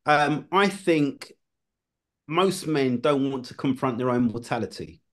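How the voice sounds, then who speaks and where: A man, a former television producer, talking as a guest in a relaxed conversation, often restarting his phrases. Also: medium pitch, measured pace. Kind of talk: casual conversation.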